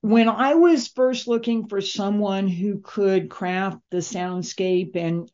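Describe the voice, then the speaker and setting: Slow-paced woman interviewer, slightly dull recording. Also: medium pitch, darkish tone. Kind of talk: conversational interview questioning. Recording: dull, lo-fi band-limited sound.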